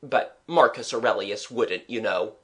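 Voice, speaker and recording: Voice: medium pitch, measured pace, close to an everyday voice. A reader voicing a genial, well-travelled man who paints, with a dry, kindly, musing manner in a storybook. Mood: dry, hearty.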